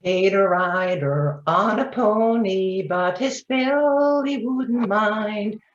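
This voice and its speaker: A woman's voice: medium pitch, extremely slow. A woman performer reciting rhyming verse in readers theatre. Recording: echoey room, dull lo-fi sound.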